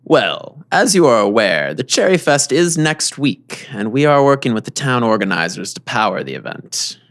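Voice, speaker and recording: Man playing a gravelly, secretive plant boss. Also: mid-range voice, sing-song, a bit bright. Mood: polite, formal. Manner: measured, formal, guarded. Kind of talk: audio drama acting.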